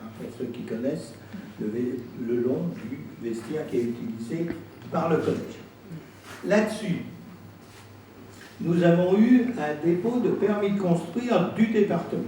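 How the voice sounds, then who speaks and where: A man speaking as a council president, explaining himself in an insistent, deliberate way at a public meeting. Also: a little high, expressive, warm tone. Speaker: president of an intercommunal council answering questions. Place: indoor council meeting room. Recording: very echoey room, distant mic, faint noise.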